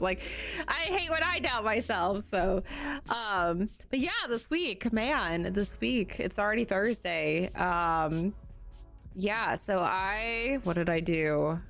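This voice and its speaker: A woman's voice: medium pitch, vocal fry, a bit bright. A woman streaming a game, chatting casually with her viewers in a bubbly, giggly, self-deprecating way, sounding tired.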